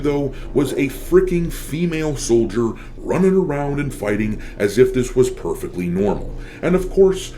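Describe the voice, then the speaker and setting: A man's voice: a little high, dark tone, slight background noise. A man delivering scripted opinion commentary as a channel host, with a blunt, sardonic, profane manner.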